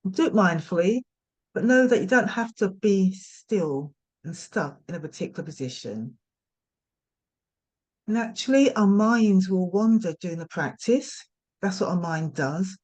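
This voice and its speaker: Woman gently guiding a meditation. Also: mid-range voice. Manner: gentle, nurturing, unhurried invitations. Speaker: yoga nidra meditation guide. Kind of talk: guided meditation instruction.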